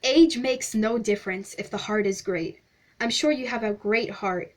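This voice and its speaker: A woman's voice: mid-range voice, normal speed, natural voice. A woman voicing a pompous, chatty older male explorer who gushes in flowery, babbling speech. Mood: effusive.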